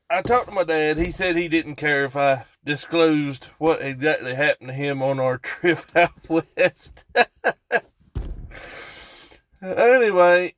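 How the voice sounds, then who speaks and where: Male storyteller, Appalachian accent, slightly high-pitched, folksy and casual, unhurried tangents, dry close sound. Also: no echo. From West Virginia, United States. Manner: folksy, rambling, repeats for emphasis. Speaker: storytelling vlogger.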